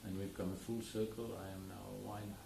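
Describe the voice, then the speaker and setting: A man from South Africa who speaks Afrikaans as his first language, talking about his wine estate in an unhurried, explanatory way with an accent. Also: on the low side, flat delivery. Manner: unhurried, explanatory, with many fillers. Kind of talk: casual interview. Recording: roomy, distant mic, clear background noise.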